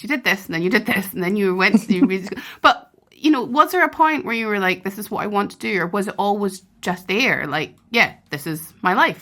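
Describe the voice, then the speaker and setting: A woman's voice: medium pitch, pushed and bright. A woman hosting a podcast, asking her guest casual, encouraging questions. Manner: encouraging, conversational. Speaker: podcast host.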